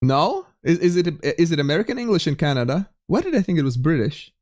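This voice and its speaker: A man's voice: on the high side, sing-song, brisk, darkish tone, no room sound, clean studio sound. Chatty Latvian-accented man streaming. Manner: chatty, reads chat aloud, frequent restarts. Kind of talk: casual live-stream chat with viewers.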